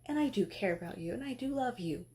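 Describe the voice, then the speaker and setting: A woman voicing an exasperated goddess who scolds her husband, swinging between sharp outbursts and weary pleading. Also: mid-range voice, animated, fast talker, voice pushed down, lightly acted. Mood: frustrated. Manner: scolding, then pleading and tearful. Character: exasperated, emotional goddess wife.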